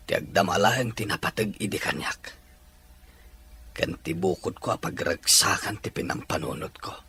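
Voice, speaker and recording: A man playing a despairing, remorseful father just out of prison in an audio drama, sighing and pleading haltingly. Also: low-pitched, vocal fry, bright, forceful tone, husky, full character voice. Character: remorseful, despairing ex-convict father returning home. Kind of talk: emotional Ilocano audio drama acting.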